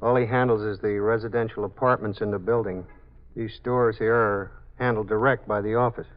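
A man's voice: fairly low pitch, steady tone, fairly quick, lightly acted. An actor playing a dutiful policeman in a 1950s radio drama, matter-of-fact and deferential. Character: plain, dutiful male policeman reporting to sergeant. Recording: dull 1950s radio broadcast recording.

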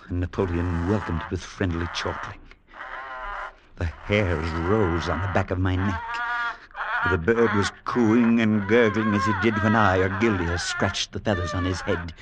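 A man playing an earnest, troubled priest in an old radio drama, speaking in a measured, grave, theatrical way. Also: sits low, steady tone, very noisy, close to an everyday voice. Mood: hushed dread. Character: earnest, troubled priest telling his eerie story. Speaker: narrator and character. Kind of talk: 1940s-50s radio drama narration and acting. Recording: vintage radio broadcast with music.

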